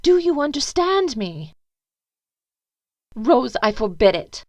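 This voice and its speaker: Woman acting a high-voiced, imperious society mother. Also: very animated, slow, dry recording, full character voice. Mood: stern, urgent. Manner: imperious, pleading, emotional. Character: stern, anxious upper-class mother scolding her daughter. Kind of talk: fan audio drama acting.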